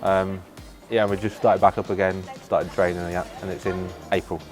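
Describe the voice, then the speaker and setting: A man's voice: deep voice, level tone, normal speed, soft background music. A man speaking casually in an interview as an athlete. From Horncastle, Lincolnshire, England. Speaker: athlete, wheelchair rugby player.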